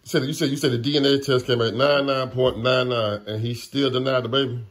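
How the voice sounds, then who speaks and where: A man's voice: mid-range voice, steady tone, brisk. A man doing a casual street interview, jumping in with agreement and follow-up questions, often repeating his words.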